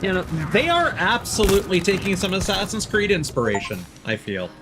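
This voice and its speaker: A man's voice: sits high, very animated, a little fast, over music. A man streaming a video game, commenting casually and playfully, thinking aloud and reading out prompts. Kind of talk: casual live gameplay commentary.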